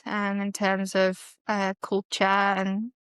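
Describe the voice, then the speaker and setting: A woman's voice: medium pitch, monotone, takes it slowly, a bit bright, clean tone, no echo, studio quality. A young woman with a Liverpool accent, a guest on a podcast, talking casually with many fillers and some hesitation. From Liverpool, England. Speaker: guest, costs consultant.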